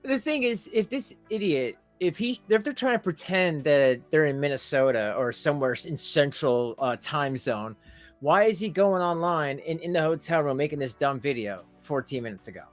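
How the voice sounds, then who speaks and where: A man's voice: on the high side, animated, normal speed, soft background music. A man hosting a casual live reaction stream, mocking and laughing often.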